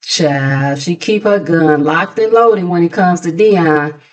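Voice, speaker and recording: A woman's voice: on the low side, slow pace. An American woman hosting a gossip recap, chatting casually in a sassy, conversational way with mocking asides. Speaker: host of a gossip commentary channel.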